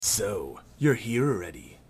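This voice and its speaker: Villain for parody anime man acting: arrogant, callous alien invader, mocking, lively pitch, clearly noisy track. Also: measured pace. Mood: smug. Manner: mocking, blunt.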